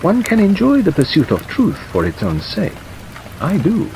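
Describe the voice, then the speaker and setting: A man playing a polite male Belgian detective in an audiobook, speaking in a mild, cautious, measured way. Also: high voice, gravelly, voice pushed up, heavily acted, rough recording. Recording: steady rain noise over narration.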